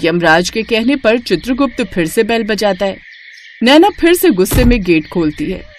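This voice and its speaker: A woman's voice: medium pitch, very animated, normal speed, a little hiss or hum. A woman reading scripted narration for a moral story as its storyteller.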